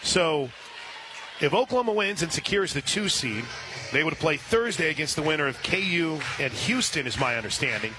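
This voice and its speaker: A man calling live softball play-by-play on radio, filling the gaps between pitches with conversational detail. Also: lively intonation, normal speed. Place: outdoor softball stadium broadcast position. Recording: clear outdoor ballpark ambient noise.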